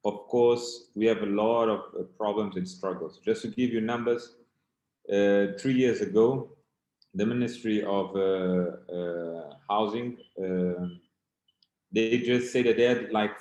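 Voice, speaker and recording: A man whose first language is Portuguese, giving a keynote talk in English with a plain, somewhat halting delivery. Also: lowish voice, darkish tone, reverberant, dull audio. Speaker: keynote speaker. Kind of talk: conference talk.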